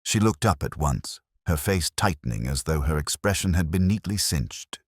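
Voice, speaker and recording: A man reading a genteel mystery story as narrator, in a measured, precise, literary manner. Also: a bass voice, expressive, husky, no room sound, studio quality.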